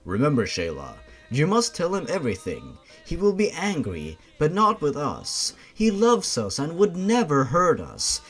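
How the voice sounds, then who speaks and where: A man's voice: fairly high pitch, wildly swooping pitch, a little slow, few pauses, fairly bright tone, slightly rough, faint music behind, exaggerated voice. A man voicing a protective inner spirit in a horror story, firm and reassuring. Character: inner voice spirit, calm, protective, urging trust.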